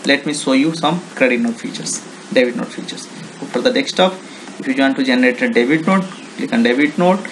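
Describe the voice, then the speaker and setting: Indian-accented man with a creaky voice. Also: medium pitch, fairly bright tone, a little hiss or hum, rough recording. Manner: halting, non-native English phrasing with repeated check words. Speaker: software demonstrator. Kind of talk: informal screen tutorial, unscripted.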